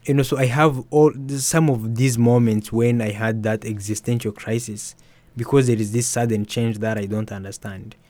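A young man, an author and musician, telling his story softly and hesitantly in an interview. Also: mid-range voice, steady tone, moderate pace, dry recording. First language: Swahili. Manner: soft-spoken, hesitant with restarts and fillers. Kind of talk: reflective personal storytelling.

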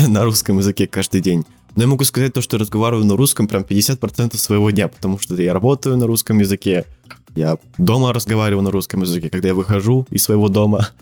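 Rambling teenage guy, low voice. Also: a little mellow. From Siberia, Russia. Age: teens. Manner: rambling, many fillers and restarts, laughs often. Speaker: guest. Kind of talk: casual podcast interview.

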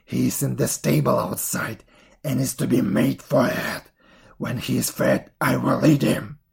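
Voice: medium pitch, steady tone, measured pace, vocal fry, husky, pitched below the performer's own voice, heavily acted. A storyteller playing a wicked, very old witch in a fairy tale, with a commanding, mocking manner. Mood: harsh commanding. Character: wicked old witch, feigning kindness, cruel, bossy.

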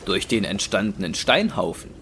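Ein Mann als Erzähler eines Hörspiels, der einen geschriebenen Text feierlich und dramatisch vorträgt. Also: male voice, on the low side, sing-song, normal speed, background noise. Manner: solemn, dramatic. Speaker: narrator. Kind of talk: dramatic scripted audio drama narration.

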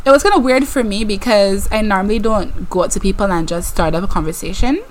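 A woman's voice: medium pitch, fast talker, a little hiss or hum, poor audio. A woman in her twenties with a Barbadian accent, co-hosting a podcast in casual chat, laughing often and telling stories with animation. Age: 20s. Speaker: podcast co-host.

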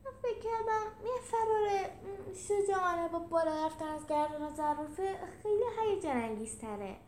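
A woman voicing a naive, earnest young male giraffe with a halting, eager manner in a storybook reading. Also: very high voice, big pitch swings, voice pushed up. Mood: hurt, hesitant. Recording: roomy echo with some background noise.

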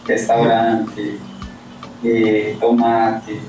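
A Colombian man talks over a casual podcast, choosing his words slowly. He sits some way from the microphone, and the room rings with heavy echo. Soft music plays underneath. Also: on the low side, monotone, far from the mic. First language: Spanish. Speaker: guest.

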